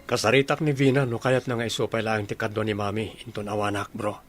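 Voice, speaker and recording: A man's voice: on the low side, little pitch movement, fast, faint music behind, close to an everyday voice. A man playing an earnest, dutiful grown son in a radio drama, with an Ilocano accent.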